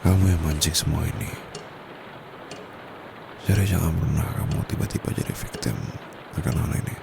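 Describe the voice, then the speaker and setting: A man playing a very deep, gravelly teasing tutor. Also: heavily acted. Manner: soft-spoken, teasing, slow and close. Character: teasing young male private tutor, secretly dominant. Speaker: voice actor. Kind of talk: ASMR roleplay. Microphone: close condenser mic. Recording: steady background noise with traffic.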